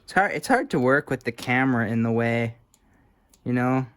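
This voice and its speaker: A man chatting casually and unscripted as he works on a repair, speaking in a halting, muttering way like a hobbyist thinking aloud. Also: mid-range voice, expressive, a bit bright, clear, resonant tone. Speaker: hobbyist restoring old electronics. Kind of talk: casual unscripted talk while working on a repair.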